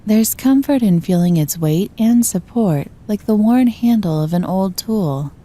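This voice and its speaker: A woman gently guiding a meditation, giving slow, soothing instructions. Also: mid-range voice, expressive. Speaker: meditation guide. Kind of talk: guided meditation.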